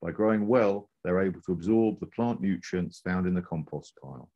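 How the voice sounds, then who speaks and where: A man's voice: deep voice, moderate pace, highs cut off. A man narrating a scripted slide presentation as a presenter.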